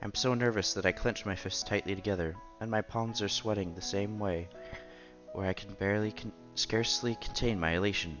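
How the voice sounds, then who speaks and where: A man's voice: fairly low pitch, steady tone, brisk, dull audio. A man streaming a visual novel, reading its narration and dialogue aloud expressively. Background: game music.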